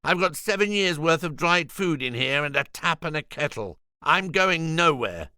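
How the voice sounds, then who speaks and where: A man playing a high, preachy vegan shopkeeper. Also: expressive, moderate pace, pushed and bright, smooth, no echo, clean studio sound. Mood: indignant, preachy. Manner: preachy, sarcastic, indignant. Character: defiant, self-righteous vegan shop owner, man.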